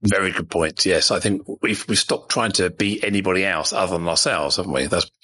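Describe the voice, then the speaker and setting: A man in his fifties with a very low, husky voice and a bright, forceful edge. He interviews warmly in manner, affirming the guest and tagging questions onto his remarks. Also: brisk. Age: 50s. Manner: encouraging, affirming, rhetorical tag questions. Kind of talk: conversational interview.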